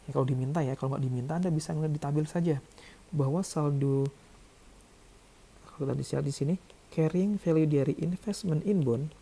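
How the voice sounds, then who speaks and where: Tutorial lecturer, very mellow and dark, gravelly edge, explains step by step. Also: medium pitch, rough recording. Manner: didactic, frequent check-in tags. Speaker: accounting lecturer. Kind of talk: tutorial narration, working through figures aloud.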